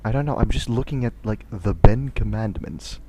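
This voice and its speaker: A man with a deep voice co-hosting a casual podcast chat, dry and laid-back in his banter. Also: moderate pace, heavy background noise. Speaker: podcast co-host.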